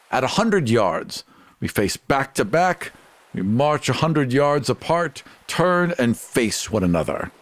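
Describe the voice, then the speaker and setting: A man's voice: mid-range voice, no room sound, pitched above the performer's own voice. Man acting a pompous, vengeful sea captain. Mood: formal, challenging. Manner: pompous, theatrical, formal declamation. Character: grandiose, vengeful, chivalrous male sea captain.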